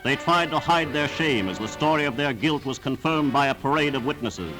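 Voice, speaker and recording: A man's voice: mid-range voice, expressive, a little fast, pushed and bright, soft background music. A man reading scripted 1940s newsreel narration in a formal, emphatic, declamatory manner on a vintage soundtrack.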